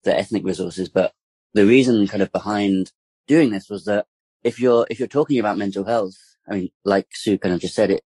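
A man's voice: on the low side, fairly quick, mellow, breathy edge, no echo. A man speaking as a guest campaigner in a casual interview, rambling with lots of "like" and "kind of". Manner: rambling, full of fillers and restarts.

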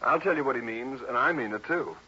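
A man's voice: mid-range voice, expressive, a little fast, bright, forceful tone. An actor playing a genial, glib American man in his twenties, hosting in mid-century radio drama style. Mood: earnest. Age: 20s. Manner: chatty, hospitable, glib. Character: glib, genial young nephew hosting a party, smooth talker. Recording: dull, hissy old radio recording.

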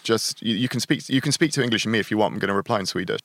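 A man's voice: lowish voice, racing, a bit bright, no echo. A man in his forties on a podcast panel, speaking earnestly and stumbling over his words. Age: 40s. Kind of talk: casual podcast chat.

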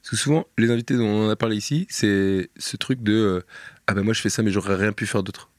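A man hosting a podcast, chatting casually, laughing often and quick with short agreements. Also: lowish voice, dry recording, studio quality. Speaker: podcast host. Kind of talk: casual interview chat.

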